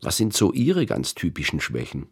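A man's voice: low-pitched, huge pitch swings, moderate pace, slightly rough. A male radio host reading a reflective, self-critical introduction in a studio. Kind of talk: scripted radio presentation.

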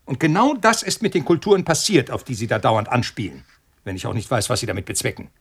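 A man's voice: mid-range voice, lively intonation, fast talker, a bit bright, breathy edge. An actor playing an arrogant male scientist under threat in a radio play, agitated and prone to stammering and repeating himself. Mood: curt, defensive. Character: arrogant male scientist reduced to frantic, pleading terror.

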